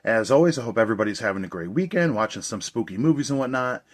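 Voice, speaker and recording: A young man with a voice worn a little hoarse, talking in a loose, rambling way. He sounds enthusiastic and casual, reviewing a movie release for his regular channel. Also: medium pitch, expressive, quick pace. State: slightly hoarse.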